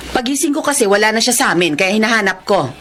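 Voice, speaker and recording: A woman's voice: medium pitch, animated, fast, a bit bright, a little hiss or hum, lightly acted. A woman playing an emotional, wounded mother in a Filipino radio drama, dramatic and exclamatory. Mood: worried, searching. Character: emotional, wounded mother, a scorned former mistress.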